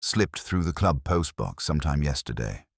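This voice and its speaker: A man's voice: rumbling low, expressive, normal speed, gravelly, dry recording, clean studio sound, full character voice. A man voicing a smug, prim village woman who relishes gossip, in an audiobook mystery. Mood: gossipy.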